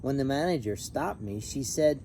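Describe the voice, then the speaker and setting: Animated man reading a children's storybook. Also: medium pitch, moderate pace, slight background noise. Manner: animated, emphatic on repeated refrain. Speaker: pastor reading a children's picture book. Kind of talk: expressive storybook read-aloud with brief asides.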